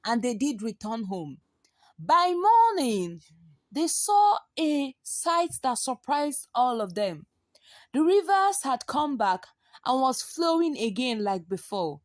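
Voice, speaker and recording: Woman, unhurried pace, dry close sound, expressive dramatic storytelling, stretched words for emphasis. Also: high voice, no echo. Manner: expressive, with gasps and drawn-out repeated words for effect.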